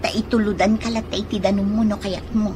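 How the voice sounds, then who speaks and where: A woman's voice: mid-range voice, level tone, fairly quick, noisy background, lightly acted. An actress playing an obliging but scheming ferry worker woman in a radio drama. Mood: friendly, obliging.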